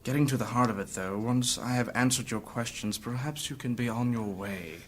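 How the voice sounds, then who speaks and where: A man's voice: mid-range voice, brisk, slight background noise, natural voice. A man playing a wounded, earnest adventurer who tells his story courteously and formally in a fantasy audio drama. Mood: weary, resolute.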